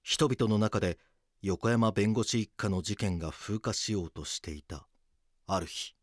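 A low-pitched man a serious police detective in a Japanese-style crime audio drama. He narrates and speaks with grave, measured restraint. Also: dry recording, studio quality. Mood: grave narration. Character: earnest male police detective narrating his investigation.

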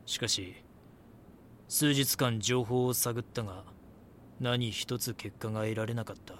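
A man acts out a reporter looking back on his investigation in a scripted drama. His narration is sombre and reflective, at an average male pitch. A faint rumbling noise sits behind the voice. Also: fairly even intonation, a little slow, fairly bright tone, lightly acted.

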